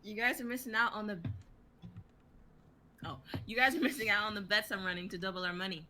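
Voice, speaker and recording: A woman's voice: mid-range voice, steady tone, brisk, pushed and bright. A young adult woman acting out casual dialogue in a dramatic script reading. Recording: noticeable background noise, online stream.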